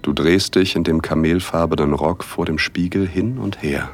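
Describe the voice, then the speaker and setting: A man narrating a first-person erotic audio drama in a hushed, intimate, unhurried way, with a husky voice. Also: sits low. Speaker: narrator and male lead.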